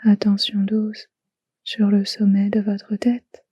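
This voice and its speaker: Hushed, slow woman guiding relaxation. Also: mid-range voice, little pitch movement, husky, dry recording. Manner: soft, hushed, soothing delivery in short phrases with long pauses. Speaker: yoga nidra guide. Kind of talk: guided relaxation meditation.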